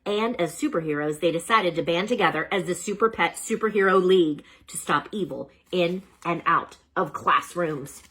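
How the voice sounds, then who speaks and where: A woman reading a children's storybook aloud as a storyteller, dramatic and expressive, with chatty asides to the listeners. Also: on the low side, normal speed, few pauses, husky.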